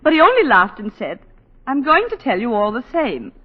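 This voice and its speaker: An actress playing a self-possessed, indignant planter's wife in a 1940s radio drama, telling her story in a halting, theatrical, emotive way. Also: a little high, big pitch swings, breathy edge. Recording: dull 1940s radio broadcast, long reverb.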